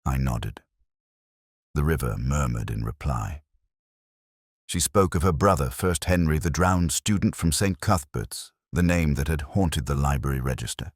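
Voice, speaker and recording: A man reading scripted first-person mystery narration in a measured, literary, melancholy manner. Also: extremely low pitch, no echo, clean studio sound.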